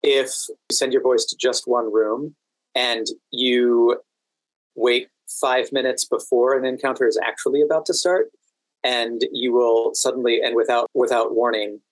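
A man's voice: mid-range voice, level tone, a little slow, no echo, low-quality recording. American man telling anecdotes, close dry sound. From Washington DC, United States. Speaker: podcast guest. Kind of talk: anecdotal interview conversation.

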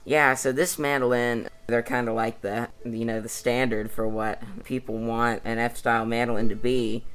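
Brief: teenage male, fairly flat intonation, modest young musician in casual interview. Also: medium pitch, normal speed, few pauses, smooth. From East Tennessee, United States.

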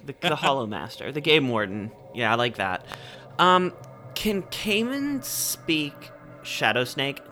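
A man playing a tabletop game, excitable and swearing freely. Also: mid-range voice, takes it slowly, faint music behind. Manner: excitable and profane. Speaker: player. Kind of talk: casual tabletop play.

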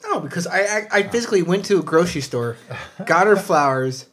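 A man with a high-pitched voice ranting about a customer service mix-up, swearing often in casual chat. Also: lively intonation, fairly quick, fairly bright tone. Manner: ranting, swears a lot, frustrated storytelling. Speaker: podcast co-host.

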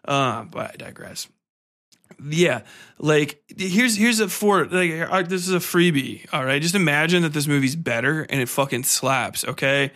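Higher-voiced man on a profane rant. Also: a little slow, pushed and bright. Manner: profane, irreverent, slangy; exasperated, sarcastic. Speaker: podcast host reviewing a film. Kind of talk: casual solo commentary, ranting review.

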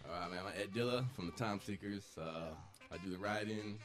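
A man who writes and mixes for the group, introducing himself with a low, announcer-like delivery. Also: a little fast, fairly bright tone. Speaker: rapper, writer and engineer. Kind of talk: casual group chat.

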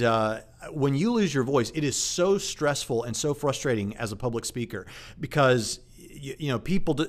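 A man, a preacher and channel host, talking casually about faith and evidence, with frequent fillers and restarts. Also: medium pitch. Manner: conversational with many fillers and restarts. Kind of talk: casual apologetics talk to camera.

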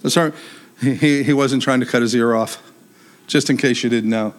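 A man preaching a sermon in a lively, conversational way, with humor and a big voice. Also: mid-range voice, normal speed.

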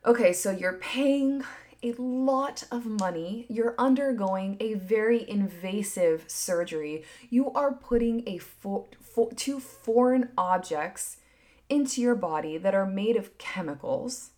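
A woman in her thirties chatting casually and candidly as a podcast host, swinging between emphatic bursts and hushed asides, with lots of filler words. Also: medium pitch, a little slow. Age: 30s. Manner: frequent filler "like", candid and swearing. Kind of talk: casual opinion chat.

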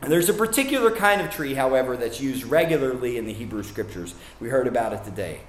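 Man, sermon, from Kentucky, conversational asides, mid pitch, echoey room. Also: animated, fast talker. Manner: conversational, with asides and self-corrections. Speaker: preacher.

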